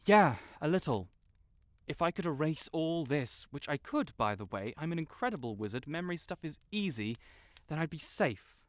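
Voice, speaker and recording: A man playing a proud, flustered young wizard in an intimate fantasy audio roleplay, halting and defensive yet earnest. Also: a little high, big pitch swings, no room sound. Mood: pleading, uneasy. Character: proud, stuck-up young male wizard, flustered tsundere best friend in love.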